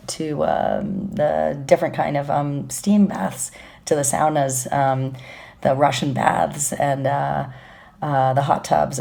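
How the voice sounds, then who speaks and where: A woman in her late forties with a cold, leaving a halting, soft-spoken video message for a friend. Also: low-pitched, vocal fry. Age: late 40s. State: has a cold, scratchy voice.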